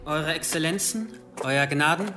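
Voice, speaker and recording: A loyal manservant in a radio drama, servile and polite. His voice is bright and somewhat high, speaking formally to his betters. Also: measured pace, faint music behind. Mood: deferential, careful. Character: deferential, loyal valet to a medieval bishop. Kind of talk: radio play acting.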